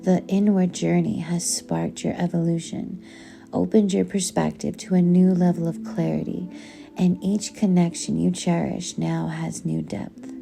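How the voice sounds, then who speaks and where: A woman reading a book aloud in a soothing audiobook style, with measured, deliberate and gentle delivery over music. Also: lowish voice, steady tone, gravelly. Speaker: book reader. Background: background music.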